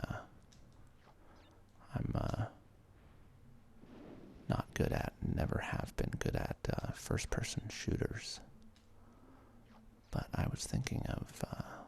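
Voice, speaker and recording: A man's voice: on the low side, gravelly, darkish tone. A man in his thirties whispers ASMR video game commentary in a hesitant, self-deprecating, rambling way. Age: 30s. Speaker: ASMR let's play host. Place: apartment.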